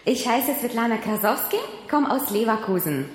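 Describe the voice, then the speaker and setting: Animated student, lively pitch, heard in a reverberant hall. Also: female voice, moderate pace, a little hiss or hum. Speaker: Bible school student. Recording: echoey hall from far off.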